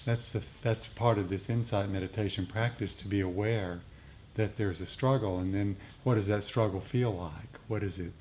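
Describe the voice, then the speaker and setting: A man's voice: fairly low pitch, fairly even intonation, moderate pace, darkish tone. A man co-leading a meditation group, explaining things off the cuff and sometimes searching for words. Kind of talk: unscripted explanatory group discussion. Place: group meditation meeting room. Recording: faint background room noise.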